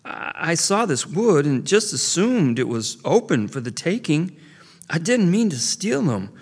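A man's voice: on the high side, sing-song, measured pace, mellow, breathy edge, pitched above the performer's own voice, heavily acted. A man voicing a frightened young male beaver who stammers and pleads. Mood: scared. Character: scared young male beaver, eager to please.

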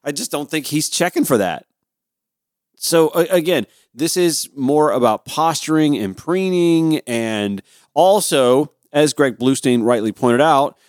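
An American man from Georgia hosts a talk radio show in a conversational, opinionated style. His voice sits at a medium pitch, and he leans on emphasis and informal asides. The sound is dry, with very little room to it. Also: no room sound. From Georgia, United States.

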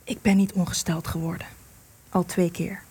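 An actress in a radio play, playing an anguished, guilt-ridden woman in hiding with a secret lover, breathy, intense and pleading. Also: on the low side, little pitch movement, normal speed, mellow. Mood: troubled, confessing.

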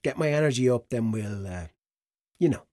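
A man's voice: medium pitch, lively intonation, moderate pace, warm tone, dry recording, studio quality, close to an everyday voice. A man acting in a naturalistic audio drama, playing a drunk or hungover young man with an Irish accent, rambling and hesitant in slangy speech. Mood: drunk, drowsy. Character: drunk, hungover young man after a one-night stand.

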